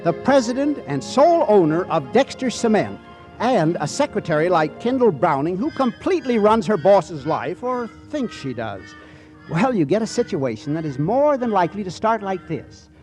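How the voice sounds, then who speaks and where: Male host for 1940s radio comedy; droll scripted narration, lively pitch, music underneath. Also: normal speed. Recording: vintage 1940s radio broadcast.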